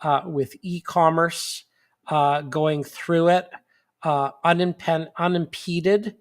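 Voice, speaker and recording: A man hosts a monthly live stream from what sounds like a home desk setup, talking slowly and loosely with plenty of fillers and restarts. He is sniffly, and his voice sits a little high for a man. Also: fairly even intonation. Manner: rambling, with many fillers and restarts. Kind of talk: casual live-stream chat.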